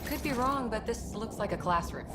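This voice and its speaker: A woman's voice: fairly high pitch, animated, normal speed, loud music behind the voice, lightly acted. Dry, wry female space squadmate acted. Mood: observant. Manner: dry, casual. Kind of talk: video game dialogue acting.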